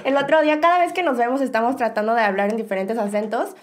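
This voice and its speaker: A woman's voice: a little high, fast, slight background noise. A young woman with a Mexican Spanish accent, hosting a podcast in casual, bubbly chat and laughing often. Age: young adult. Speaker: podcast host.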